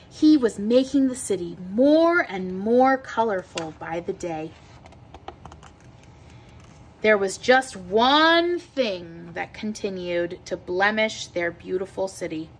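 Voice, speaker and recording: A woman with a fairly high voice reads a children's picture book aloud. She takes it very slowly, shaping phrases in an expressive, deliberate storytelling manner. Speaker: read-aloud teacher.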